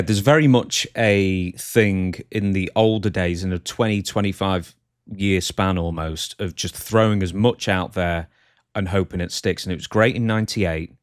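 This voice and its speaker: A man with a lowish voice co-hosts a casual podcast. His delivery is measured, agreeable and conversational. The sound is very dry, free of room echo. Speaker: podcast co-host, news reporter of the show.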